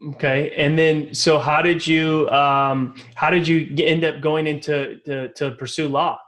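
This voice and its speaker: A man's voice: fairly high pitch, little pitch movement, unhurried, a bit bright. A man hosting an interview podcast, asking loose, rambling questions and restarting his sentences. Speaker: podcast host and company founder.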